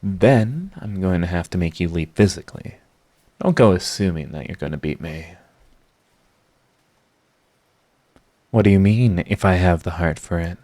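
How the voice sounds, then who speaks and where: A man plays a sardonic supervillain with a low-pitched voice in an intimate audio drama. He is theatrical and sarcastic, yet his halting delivery betrays a secret devotion to his rival. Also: mellow. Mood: haughty, dismissive. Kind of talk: intimate scripted audio roleplay monologue.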